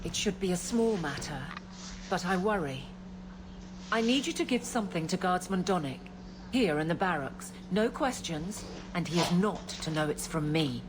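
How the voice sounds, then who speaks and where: Casting: actress as stern female guard captain, fantasy game, lowish voice, clipped authority, socially awkward, noisy background. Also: expressive, moderate pace, close to an everyday voice. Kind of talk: fantasy video game acting. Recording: game audio under clear noise.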